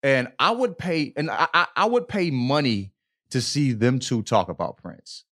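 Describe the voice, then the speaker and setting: A man's voice: mid-range voice, lively intonation, a little slow, fairly bright tone. A man co-hosting a podcast, chatting casually in an emphatic, slangy way, repeating himself and often checking that listeners follow. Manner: repetitive, emphatic, slangy, peppered with check-in tags. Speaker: podcast co-host. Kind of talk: casual opinionated podcast chat.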